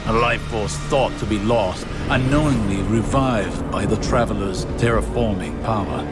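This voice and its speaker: An actor playing a grave, deliberate narrator of a foreboding science fiction game cinematic. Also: male voice, lowish voice. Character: solemn, foreboding male narrator of a sci-fi saga. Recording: loud music under the narration.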